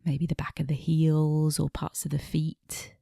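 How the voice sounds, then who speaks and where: A woman meditation teacher guiding a body scan in a gentle, unhurried manner, pausing between short phrases, with soft phrase endings that fade toward breathiness. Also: low-pitched, flat delivery, creaky, slightly rough, no room sound, studio quality.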